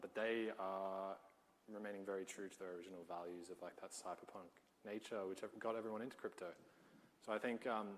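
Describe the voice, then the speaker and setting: A man's voice: low voice, slight background noise. A man from Argentina, answering on a conference panel in a blunt, casual way. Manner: blunt, casual, swears freely. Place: conference stage in a large hall. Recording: reverberant hall with crowd murmur.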